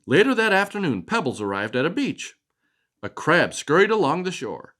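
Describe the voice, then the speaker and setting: A man reading a children's picture book aloud as a storytelling father, expressive and explaining things to a child. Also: a little high, normal speed.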